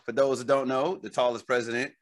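Man, 40s, podcast co-host; laid-back jokey storyteller, mid pitch. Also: fairly even intonation, fast talker. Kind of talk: casual chat.

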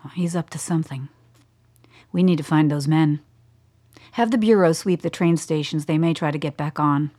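A calculating scientist-official in a radio play. Her voice is low, her delivery measured and businesslike, coolly in command. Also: warm tone. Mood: guarded, probing. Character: cool, calculating woman scientist-official plotting covertly. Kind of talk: radio drama acting.

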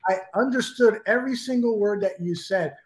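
Casting: high-pitched male podcast host, loose, swears freely. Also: brisk. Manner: loose, profane, laughs easily. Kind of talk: casual chat.

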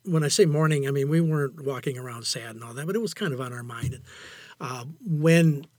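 Older man, medium pitch, reflective interview guest, halting delivery, repeated phrases, dry room sound. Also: dry recording. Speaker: interview guest, amateur writer.

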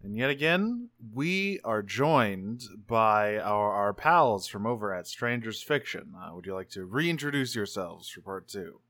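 A man casually co-hosting a tabletop role-play podcast, halting and repeating words as he narrates. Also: fairly low pitch, animated, sharp tone, no echo. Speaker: podcast co-host.

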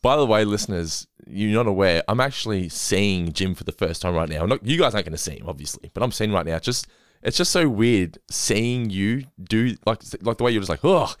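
A man hosting a casual podcast chat, talking quickly and laughing often. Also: on the low side, lively intonation, clean tone, no echo, clean studio sound. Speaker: podcast host.

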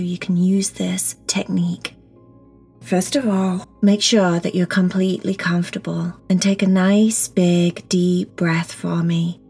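A woman leads a guided meditation for children, speaking softly and breathily, often close to a whisper, in a gentle, reassuring way with simple words. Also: mid-range voice, breathy edge. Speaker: guided meditation teacher and host. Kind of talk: children's guided meditation, slow soothing instruction. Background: soft background music.

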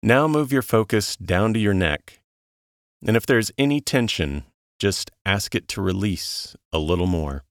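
A man with a low voice leads a guided relaxation. He speaks very slowly and calmly, with soothing, measured instructions and long pauses. The sound is dry, with no room around the voice. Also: dry recording. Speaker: guided meditation narrator. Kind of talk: slow guided relaxation narration.

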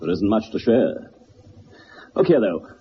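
An actor playing a cerebral, wry detective with an English accent, urbane and theatrical, in the style of 1960s radio drama. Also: male voice, fairly low pitch, animated, a little slow. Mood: amused, teasing. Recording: muffled, noisy 1960s radio recording.

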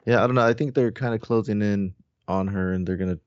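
Low-voiced man in loose casual chat. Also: fast, no room sound, muffled recording. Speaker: podcast co-host. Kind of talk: casual book recap discussion.

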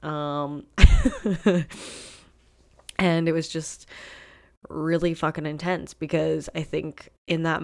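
A woman's voice: sits low, gravelly, darkish tone. A young woman hosting her own podcast, talking frankly and swearing freely in a rambling solo monologue while sniffly from a cold. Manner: frank and heavily profane, rambling with fillers. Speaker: podcast host.

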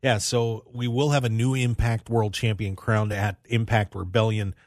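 A man with a fairly low voice hosts a casual sports talk radio show. He speaks conversationally to his listeners, and the sound is dry and close, like a studio. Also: flat delivery, moderate pace, no echo.

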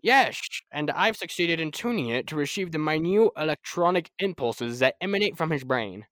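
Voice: mid-range voice, lively intonation, normal speed, fairly bright tone, no echo, close to an everyday voice. An amateur player acting an eager, proud scientist-inventor in an old-time radio serial, explaining things in wordy technical detail. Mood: lecturing.

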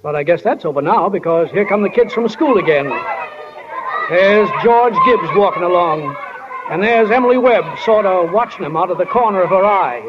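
An actor playing a homely, unhurried stage-manager narrator in a 1940s radio drama. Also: male voice, sits high, animated, crowd noise. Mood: genial, matter-of-fact. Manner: folksy, matter-of-fact storytelling. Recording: dull, noisy 1940s radio recording.

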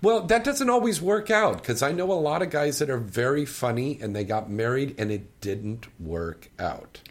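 A woman's voice: very deep voice, animated, moderate pace. A woman hosting a casual radio call-in chat, playful and fond of telling stories. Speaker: radio host.

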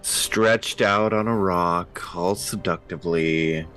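A man's voice: low voice, takes it slowly, a bit bright, faint music behind. A man playing a tabletop role-playing game, talking casually and playfully as he works out his moves.

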